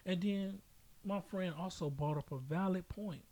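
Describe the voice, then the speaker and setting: A man with a somewhat high-pitched voice hosts a casual podcast. He tells things in a slangy, emphatic way, leaning on repetition and giving his opinions freely. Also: measured pace, dark tone. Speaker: podcast host.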